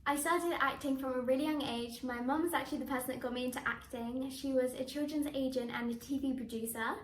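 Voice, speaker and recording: Bubbly, high-voiced teen actress. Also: female voice, steady tone, fast talker, slight background noise. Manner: bubbly, enthusiastic, with frequent laughs. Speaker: young actress. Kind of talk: answering interview questions to camera. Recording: echoey room.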